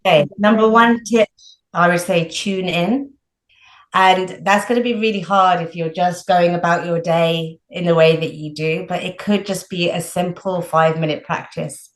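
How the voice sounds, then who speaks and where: A woman with a low voice explains training tips in a loose, chatty way, leaning on filler words as she talks. Her voice carries a roomy echo around it. She sounds like a coach talking easily to a host. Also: fairly even intonation, moderate pace. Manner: chatty, frequent "like" and "you know" fillers. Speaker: women's health coach, guest. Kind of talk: casual explanatory advice.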